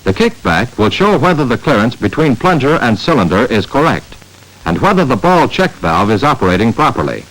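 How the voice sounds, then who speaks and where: Lively-pitched American man, 1950s training film narrator. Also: low-quality recording. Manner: measured, instructional, emphatic. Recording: vintage 1950s film soundtrack.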